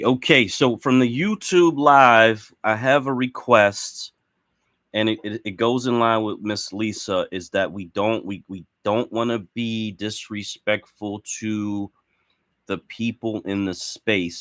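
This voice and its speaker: A man with a lowish voice and a dry, close sound, moderating a live discussion. He is casual and conciliatory, feeling his way through his phrases. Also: fairly bright tone, no echo.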